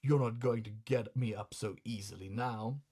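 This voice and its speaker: A man's voice: medium pitch, fairly even intonation, moderate pace, mellow, lightly acted. A man playing a grumbling, hot-tempered, vain male customer in a comic story, blustering with sarcastic, colloquial indignation. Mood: indignant.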